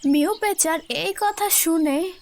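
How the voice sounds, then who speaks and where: A woman's voice: very high-pitched, slow pace, background noise. A woman narrator telling a scripted children's cartoon story.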